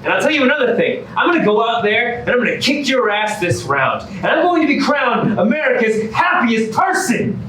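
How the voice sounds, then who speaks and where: An actor playing a suspicious, sarcastic, competitive reality show contestant in a comedy staged reading. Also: male voice, very high-pitched, sing-song, a little fast, few pauses, fairly bright tone, heavily acted. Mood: combative. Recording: very echoey, noisy, distant stage recording.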